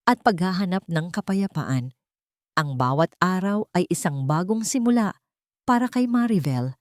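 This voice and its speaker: Filipino woman narrator, lowish voice, dry close sound, reading a drama story. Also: a little mellow, dry recording. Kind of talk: scripted audio drama narration.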